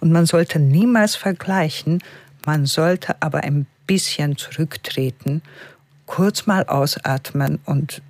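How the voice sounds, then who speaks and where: A woman speaking as a guest on a radio talk show, earnest and expressive, rising in pitch to stress her points. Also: slow, no echo. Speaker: studio guest.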